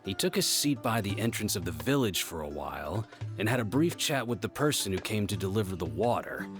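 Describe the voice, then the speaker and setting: Man playing a low-voiced, obliging village guard. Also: animated, soft background music, close to an everyday voice. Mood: pondering, helpful. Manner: deliberate, obliging. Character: helpful, courteous village guard, easygoing and thoughtful. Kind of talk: video game voice acting.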